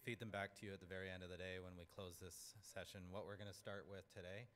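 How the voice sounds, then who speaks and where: A man's voice: deep voice, little pitch movement, fast, fairly bright tone, slight background noise. A man hosting a conference, introducing a speaker in a casual, upbeat way with plenty of filler words. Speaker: conference host. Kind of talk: event introduction.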